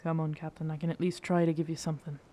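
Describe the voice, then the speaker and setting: An actor playing a gruff, guarded yet tender pirate captain in an intimate ASMR roleplay, speaking haltingly. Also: medium pitch, flat delivery, mellow, close to an everyday voice. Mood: reluctant, resigned. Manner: slow, hesitant, halting, rough pirate phrasing. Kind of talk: ASMR roleplay audio drama, intimate second-person scene.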